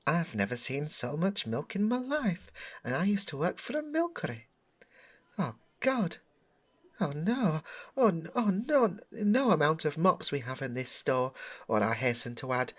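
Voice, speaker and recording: A man's voice: a little high, very animated, a little slow, pitched above the performer's own voice. Man voicing a swooping, fretful shop worker. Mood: aghast. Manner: flustered, wordy, grandiose. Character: fretful, overdramatic British store worker mopping up.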